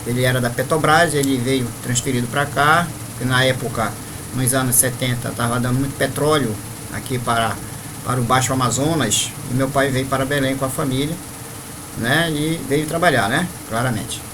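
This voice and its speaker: A man in his fifties from northeastern Brazil, telling his spiritual life story to viewers at an unhurried pace with frequent tag questions. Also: mid-range voice, noisy background. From Maranhão, Brazil. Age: 50s. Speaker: spiritual leader.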